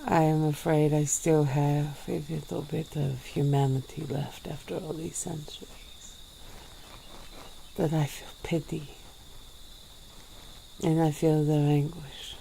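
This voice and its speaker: Donna che interpreta una vampira secolare, voce roca e scura, lenta e misurata, fruscio di fondo leggero. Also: female voice, deep voice, flat delivery, vocal fry, dark tone, slight background noise, exaggerated voice, low-quality recording. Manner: slow, measured, formal speech. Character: centuries-old female vampire, calm and regretful. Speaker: ASMR roleplay performer. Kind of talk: ASMR interview roleplay.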